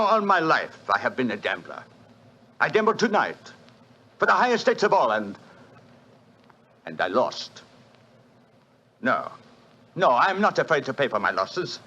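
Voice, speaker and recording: A smooth, urbane casino man, cool and fatalistic, his voice lively and bright. It comes through a dull old radio broadcast. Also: medium pitch, full character voice. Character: suave French casino manager, cool gambler. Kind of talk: 1940s radio acting.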